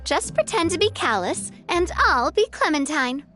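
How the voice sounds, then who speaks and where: A woman's voice: extremely high pitch, big pitch swings, normal speed, music in the background. A woman voicing a confident, playful young boss in an anime-style video game, with a breezy, teasing manner. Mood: eager, playful. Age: young adult. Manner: breezy, teasing, self-deprecating.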